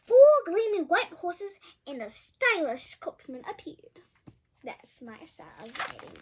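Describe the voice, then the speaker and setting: A female reader with a high voice tells a fairy tale out loud. Her intonation swings widely and expressively. She drops in chatty asides and trips over a word now and then. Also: unhurried. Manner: expressive, stumbles over words. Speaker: storybook reader.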